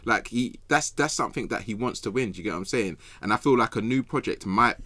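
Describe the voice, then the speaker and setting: A young man with a low voice and a London accent, recorded dry and close. He speaks in slangy, emphatic bursts, like someone arguing his case on a casual football podcast. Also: lively intonation, fast talker, sharp tone, dry recording. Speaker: podcast guest pundit.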